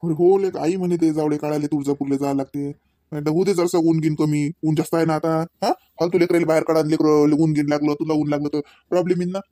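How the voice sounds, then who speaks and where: A man's voice: a little high, steady tone, fast talker, mellow, no echo, clean studio sound, close to an everyday voice. A man voicing a doting, proud young father in a comedy cartoon, chatty and folksy, with a Varhadi Marathi accent. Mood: easygoing. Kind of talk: homemade comedy cartoon dialogue.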